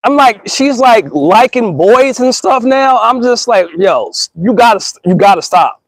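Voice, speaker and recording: High-voiced man musing in casual slang. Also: expressive, unhurried, no room sound, low-quality recording. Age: 30s. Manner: slangy and earnest, addresses listeners as y'all and bro; rambling with frequent restarts and stammers. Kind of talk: casual reflective monologue.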